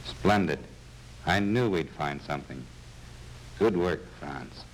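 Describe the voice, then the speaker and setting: An actor playing a cold, commanding secret police officer who gives clipped orders in a 1940s film. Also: male voice, low-pitched, animated, takes it slowly. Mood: pleased. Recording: hissy, noisy old 1940s film soundtrack.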